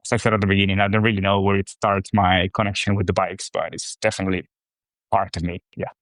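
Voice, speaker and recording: A man in his thirties from Costa Rica, a brand co-founder talking about himself in a relaxed, rambling way. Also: low voice, fast, no echo. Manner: relaxed, rambling, with fillers and restarts. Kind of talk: casual interview talk.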